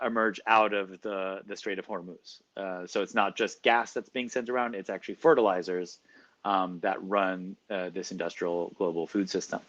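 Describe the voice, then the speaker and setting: A man answering interview questions as a professor, explaining at length in a measured way with frequent fillers. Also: fairly low pitch, a bit bright, highs cut off.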